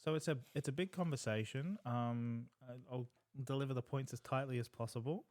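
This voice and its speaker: Brief: male breathwork facilitator, podcast co-host, earnest and instructive, mid pitch. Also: brisk, darkish tone. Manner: earnest, instructive, frequent fillers. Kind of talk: explanatory podcast talk.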